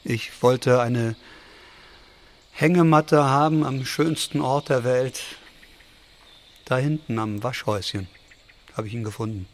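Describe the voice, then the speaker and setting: A man's voice: medium pitch, unhurried, pauses often, lightly acted. An actor playing an introverted, tidy, mild-mannered man in a naturalistic radio drama, speaking hesitantly. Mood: subdued, hesitant.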